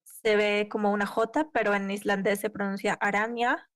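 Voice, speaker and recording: A woman's voice: medium pitch, level tone, moderate pace, dry recording. Woman interpreting a talk live, dry sound. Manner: uneven pace with occasional cut-off restarts. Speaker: simultaneous interpreter.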